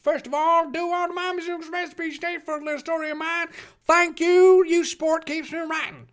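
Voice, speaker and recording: A reader putting on a shrill, preachy devout mom. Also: level tone, a little fast, a bit bright. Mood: preachy, self-righteous. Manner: gushing, self-righteous, folksy. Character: preachy, folksy devout mother writing author's notes.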